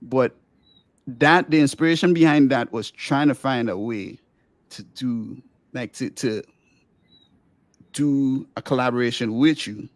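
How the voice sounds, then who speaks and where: A man's voice: measured pace, long pauses, no echo. Eager middle-aged man, dry close sound. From Grenada. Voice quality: light voice he calls squeaky. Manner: enthusiastic, rambling, many restarts. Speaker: podcast host and musician. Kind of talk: casual podcast interview.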